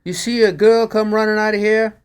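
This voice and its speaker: A man's voice: high-pitched, steady tone, moderate pace, clear, resonant tone, pitched above the performer's own voice, lightly acted. A man voicing a portly, robbed pawn shop owner in a story reading, agitated and complaining. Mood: urgent. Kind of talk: acted dialogue in a story reading.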